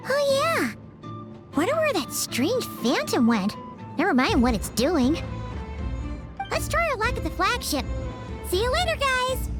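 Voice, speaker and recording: A woman voicing a tiny, excitable, girlish floating companion creature in a video game cutscene, bubbly, chatty and eager. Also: very high-pitched, measured pace, pauses often, exaggerated voice. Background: game music.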